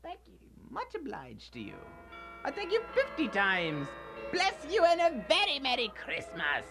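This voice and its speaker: A woman playing an old male miser reborn as a giddy, generous soul in a radio drama, exclaiming and repeating phrases excitedly. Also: high-pitched, measured pace, over music, exaggerated voice. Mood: humbly grateful.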